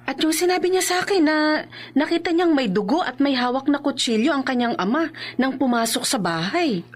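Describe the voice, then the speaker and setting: A woman's voice: high voice, lively intonation, fairly quick. A woman playing an anxious, caring mother in a horror radio drama, earnest and questioning.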